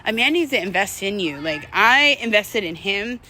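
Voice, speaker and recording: Bright-voiced young woman, candid and chatty. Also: mid-range voice, moderate pace, a little hiss or hum. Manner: conversational, frank, swearing, expressive. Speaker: vlogger. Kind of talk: candid personal storytelling to camera.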